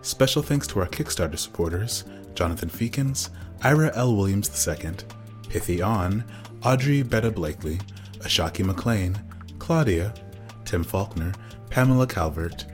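Low-voiced man reading credits over music. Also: expressive, normal speed. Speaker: credits announcer. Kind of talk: scripted credits read.

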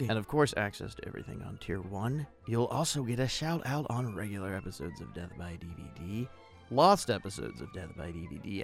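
A man's voice: lowish voice, gravelly. A man hosting a podcast, reading an announcement in a theatrical, dry, tongue-in-cheek way. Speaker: podcast host.

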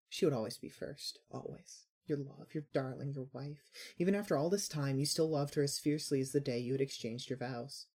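A man reads dramatic fan fiction as narrator. His mid-pitched voice is mellow and a little breathy, with a solemn, deliberate delivery.

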